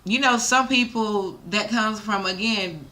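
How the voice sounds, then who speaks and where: A woman's voice: mid-range voice, measured pace, bright, forceful tone. A young American woman talking candidly as an interview guest, informal and emphatic with slangy, salty language. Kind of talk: casual candid interview talk.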